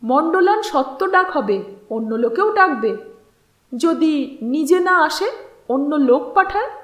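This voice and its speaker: A shrewd male estate steward from an audio story, read by a woman, speaking in a high voice. He is assured and emphatic, laying out his advice slowly and deliberately. The recording is roomy and echoing. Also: expressive, fairly bright tone. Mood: assured, explaining.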